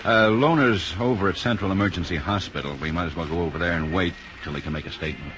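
An actor playing a steady, no-nonsense male police detective in a radio drama, with a plain, firm, businesslike manner. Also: deep voice, fast, close to an everyday voice. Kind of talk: 1950s radio drama acting. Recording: dull, hissy, noisy old radio recording.

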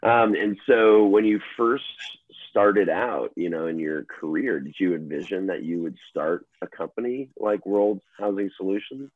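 Deep-voiced male interviewer, husky, heard over a thin phone-like line, hesitant with fillers. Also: measured pace. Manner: hesitant questions with fillers, short affirmations. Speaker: podcast interviewer. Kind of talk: casual interview questions.

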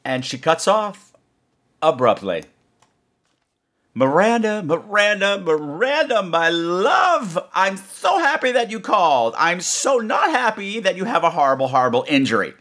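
Animated, campy man hosting a podcast. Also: sits high, unhurried. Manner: campy, exclamatory, profane. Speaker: horror podcast host. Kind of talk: casual chat responding to listener voicemails.

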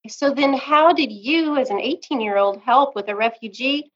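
A woman's voice: high voice, moderate pace, a bit bright, muffled recording. A woman hosting a podcast, asking encouraging, friendly questions in an interview. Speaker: podcast host.

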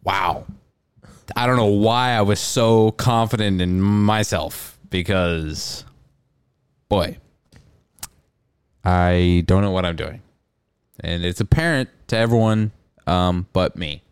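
Young guy on a podcast, rambly and self-deprecating, smooth lowish voice, dry close sound. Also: slow, dry recording. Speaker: podcast co-host. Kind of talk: casual comedic chat.

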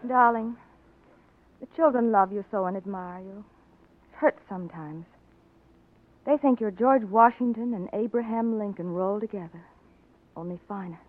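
An actress playing a genteel, earnest wife and young mother in a 1940s radio drama, speaking politely and gently. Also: mid-range voice, normal speed, pauses often, lightly acted. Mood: tender, earnest. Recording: tinny narrow old radio recording.

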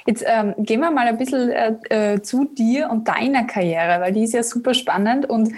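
Behauchte Gastgeberin mit österreichischem Akzent. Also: female voice, a little high, normal speed, darkish tone, breathy edge. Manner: friendly, conversational questions with fillers. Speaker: interview host. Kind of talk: casual interview.

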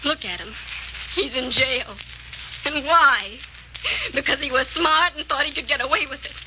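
Frightened, very high-voiced gangster girl. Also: moderate pace, pushed and bright. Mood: fearful, remorseful. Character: frightened, remorseful young female gang getaway driver. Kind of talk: 1940s radio drama acting. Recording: hissy muffled old radio broadcast.

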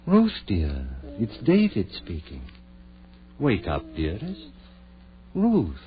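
An actor playing a confident, intense scientist husband in a theatrical 1940s radio horror drama. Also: male voice, lowish voice, huge pitch swings, slow, heavily acted. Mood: pleading, distraught. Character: driven scientist husband who revived his dead wife. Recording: muffled, noisy 1940s radio recording with hum.

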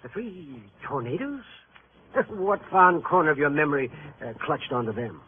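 An actor playing a jovial, florid-tongued carnival promoter in a 1950s radio crime drama, with an American accent and a wordy, theatrical manner. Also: male voice, fairly high pitch, very animated, moderate pace. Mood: musing. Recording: muffled vintage radio with faint noise.

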